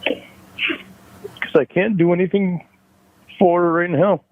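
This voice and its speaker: An upset man with a slightly high voice talks haltingly on a private call, close to tears and full of self-pity. The audio is dull and narrow, like a phone recording. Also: steady tone, slight background noise. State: upset, tearful. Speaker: caller. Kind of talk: emotional private phone conversation. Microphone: headset on a recorded call.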